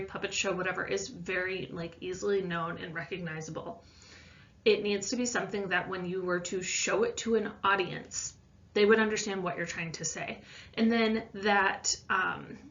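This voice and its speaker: A woman's voice: medium pitch, fairly bright tone. A woman teacher giving an informal voice-over lesson, explaining an assignment in a loosely worded, conversational way. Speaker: science teacher. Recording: distant mic, slightly muffled sound.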